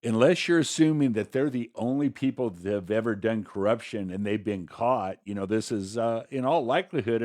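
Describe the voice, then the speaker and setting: A man's voice: on the low side, a little mellow, no room sound, studio quality. A man co-hosting a talk show with conversational political commentary, hesitant and rambling, often repeating himself.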